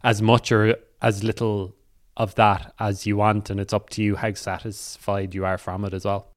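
A man's voice: fairly low pitch, flat delivery, moderate pace. A man interviewing someone in an informal, friendly, conversational way. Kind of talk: casual interview chat.

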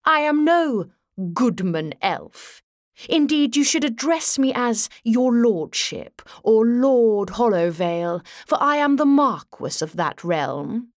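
A woman's voice: a little high, lively intonation, slow pace, no room sound, studio quality. A woman voicing an elegant, vain male elf lord in a storybook, with a languid, sighing, grandly formal delivery. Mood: haughty, offended.

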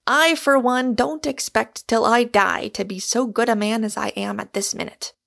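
Woman voicing an ardent, emphatic Irish gentleman suitor. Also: fairly high pitch, steady tone, normal speed, vocal fry, voice pushed up. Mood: impassioned. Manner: declamatory and emphatic.

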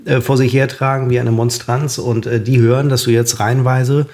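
A man's voice: medium pitch, flat delivery, normal speed, a little mellow. A man co-hosting a comedic chat, rambling with many fillers, a bit drunk, working himself up into a rant. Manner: ranting, hesitant with many fillers, gets worked up. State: tipsy. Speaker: podcast co-host.

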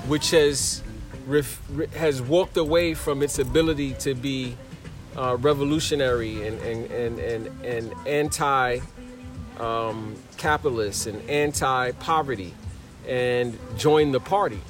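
A man's voice: medium pitch, unhurried, over music. Earnest man thinking aloud. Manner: earnest, searching for words. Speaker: traveler in conversation. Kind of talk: casual reflective conversation.

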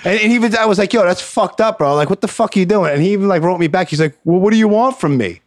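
A man's voice: sits high, fast talker, dry recording, clean studio sound. A man with a Brooklyn accent, hosting a podcast and ranting in casual, profane chat. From New York. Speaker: podcast host. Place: house.

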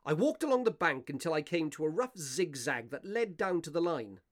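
A man acting as a curious, courteous Victorian gentleman who narrates a ghost story in a radio play, with theatrical, deliberate phrasing. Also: a little high, very animated, no echo, studio quality. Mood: grave, atmospheric. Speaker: narrator of an audio drama.

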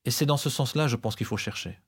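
A man's voice: medium pitch, quick pace, husky. A man co-hosting a podcast with scripted, explanatory narration.

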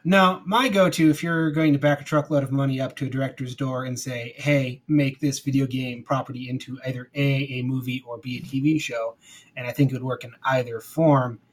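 A man's voice: medium pitch, fairly even intonation, fairly quick. A man chatting casually as a podcast co-host, giving his personal opinions. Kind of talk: casual chat.

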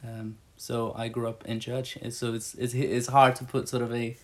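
A man's voice: mid-range voice, little pitch movement, normal speed. Chatty man, rambling church-staff interview. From Brazil. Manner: chatty, rambling, many fillers and restarts. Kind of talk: casual interview answers.

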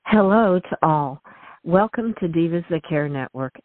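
A woman's voice: fairly low pitch, expressive, measured pace, soft background music. A woman hosting a radio show, giving listeners and her guest a gracious, effusive welcome. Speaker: host. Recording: tinny phone line, dry.

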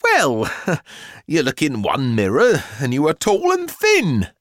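A man's voice: a little high, huge pitch swings, takes it slowly, a bit bright, no room sound, clean studio sound, voice pushed up, full character voice. A man voicing a jolly but long-suffering mother in a storybook, coaxing and effusive. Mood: nervously cheerful.

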